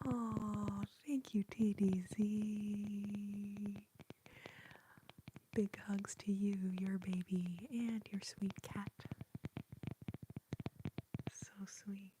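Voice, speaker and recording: A woman from Texas in her thirties, whispering softly and breathily as she chats with viewers on an ASMR livestream. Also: medium pitch, little pitch movement, drawn-out words, pauses often, very noisy. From Austin, Texas. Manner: soft whisper, chatting with viewers, giggly.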